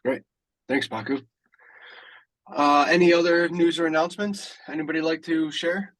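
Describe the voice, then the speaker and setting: Casting: young male meeting host, casual, voice a little on the high side. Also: steady tone, normal speed, a bit bright, low-quality recording. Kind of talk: casual moderating.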